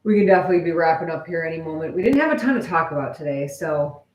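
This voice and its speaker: A woman's voice: deep voice, racing, a little mellow. A woman chatting casually and informally with viewers as co-host of a live stream. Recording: echoey room.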